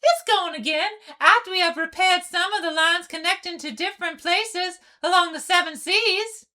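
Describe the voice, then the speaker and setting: A woman's voice: extremely high pitch, normal speed, pushed and bright. A woman voicing a bubbly, courteous young female sea pony in an amateur cartoon comic dub, with an eager, girlish delivery. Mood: curious, eager.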